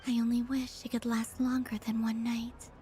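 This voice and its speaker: Woman acting a mellow, wistful moon maiden. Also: a little high, flat delivery, normal speed, soft background music, natural voice. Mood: bittersweet. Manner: soft, breathy, understated. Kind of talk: video game voice acting.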